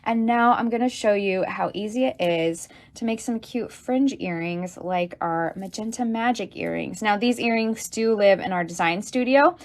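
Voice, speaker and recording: A woman of ordinary middle pitch hosts a relaxed beading tutorial, talking through each step as she works. She is enthusiastic and chatty, dropping in asides and soft fillers as she goes. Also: normal speed, hardly any pauses. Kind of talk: casual livestream tutorial.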